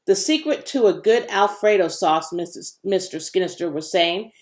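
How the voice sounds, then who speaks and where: A woman's voice: lowish voice, normal speed, darkish tone, close to an everyday voice. A woman voicing a gloomy grown man from a children's storybook, somber and given to mumbling. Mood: chatty. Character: gloomy, sighing grown man, slaughterhouse office boss.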